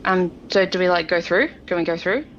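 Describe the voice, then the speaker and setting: A woman's voice: fairly low pitch, brisk, fairly bright tone. A woman chatting casually as a player in a tabletop role-playing game. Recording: dull, noisy home recording.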